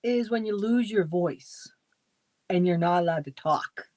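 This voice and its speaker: Easygoing woman, warm mellow voice, chatty little anecdotes, soft trailing ends. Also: lowish voice, measured pace, no echo. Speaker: co-host of a crafting livestream. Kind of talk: casual chat.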